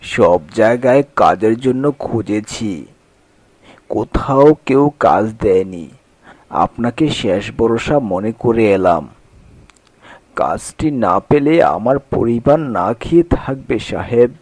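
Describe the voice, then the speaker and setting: A man's voice: on the low side, animated, slow pace, a little mellow, no echo. A man voicing a weary, poor, honest village day-labourer in a cartoon fairy tale, plaintive and earnest. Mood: pleading.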